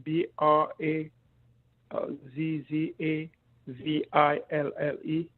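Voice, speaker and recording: A man's voice: fairly high pitch, completely flat delivery, takes it slowly, no room sound. A man in his fifties from the Republic of the Congo, calling in to a live show and speaking hesitantly. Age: 50s. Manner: hesitant, unsure. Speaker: caller. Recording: muffled phone line.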